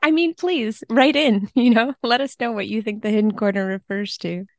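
A woman chatting casually and wryly about books as a podcast guest, quick to laugh. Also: on the high side, dry recording. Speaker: guest, literary translator.

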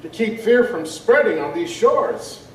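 An actor playing a haunted, fearful boatman who survived a ghost ship, with halting, old-time phrasing. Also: medium pitch, expressive, distant mic, lightly acted. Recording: echoey, off-mic, ambient noise behind.

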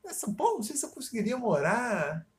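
A man's voice: extremely high pitch, big pitch swings, quick pace. A man in casual podcast chat, rambling, swearing freely, trailing off and restarting. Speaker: podcast co-host.

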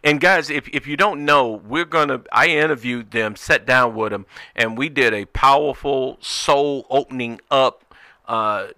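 A man's voice: mid-range voice, measured pace, pushed and bright, no room sound. A man hosting live talk radio in a conversational, folksy way with emphatic phrasing. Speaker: radio show host.

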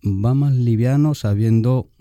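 A man's voice: mid-range voice, a little slow, mellow, no room sound. A man in his fifties with a Castilian accent from Spain, a priest answering reflectively in an interview and explaining things with small tag questions. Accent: Castilian Spanish, from Spain. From Albacete, La Mancha, Spain. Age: 50s.

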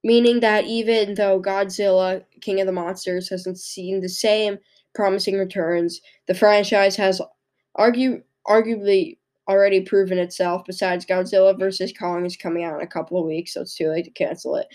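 A young woman with a cold and a hoarse voice, hosting a podcast and reading her notes in a halting way, often stumbling and restarting. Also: mid-range voice, steady tone, a little mellow. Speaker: podcast host. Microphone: microphone into a laptop.

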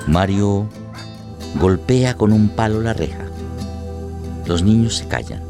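Deep-voiced man narrating a radio drama. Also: expressive, vocal fry, music in the background. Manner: measured, short deliberate phrases.

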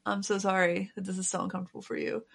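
A woman chatting casually and informally, with animated, mocking asides. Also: medium pitch, racing, creaky, husky. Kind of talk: casual chat.

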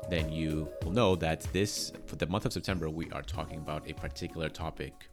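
A man's voice: a bass voice, fairly even intonation, brisk, over loud music. A man speaking as a pastor on his church's podcast, congested from allergies, talking in an earnest, careful way with many fillers and restarts.

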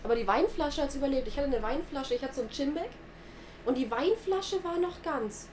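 A nineteen-year-old woman co-hosting a casual chat, telling stories in a lively, animated way and laughing at herself. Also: high voice, brisk. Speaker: podcast co-host. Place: sitting on a church pew. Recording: echoey room with clear background noise.

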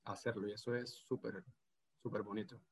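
Venezuelan gocho-accented man in his thirties, guest in a relaxed interview, hesitant delivery. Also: lowish voice, a little fast, slightly rough. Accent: Venezuelan Andean (gocho) Spanish. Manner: hesitant, many restarts and fillers. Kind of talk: casual video interview.

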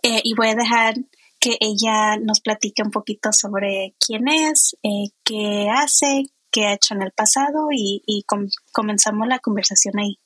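A woman's voice: medium pitch, fairly even intonation, unhurried, fairly bright tone, breathy edge, no echo. A woman hosting a podcast interview as a coach, speaking in a friendly way with frequent fillers.

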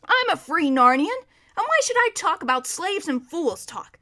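A woman's voice: extremely high pitch, very animated, normal speed, pushed and bright, pitched above the performer's own voice, exaggerated voice. A woman reading a storybook as a proud, bossy but kindly male war horse, with an emphatic, lecturing manner. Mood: assured. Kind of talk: children's storybook character reading.

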